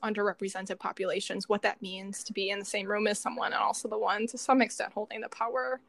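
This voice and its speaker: A woman's voice: medium pitch, flat delivery, fast, creaky, fairly bright tone. A young adult woman co-hosting a podcast in casual, reflective conversation. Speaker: podcast co-host, doctoral student in psychology.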